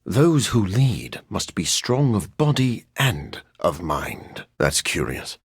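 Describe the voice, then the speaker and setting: A living-vampire man of science for a video game, with a husky, rasping voice. He is formal and brooding, with a sardonic, dry wit. The pitch sits fairly low and the sound is close, with no room around it. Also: sing-song, takes it slowly, no echo, full character voice. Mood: measured, brooding. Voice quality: husky, raspy. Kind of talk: video game character voice acting.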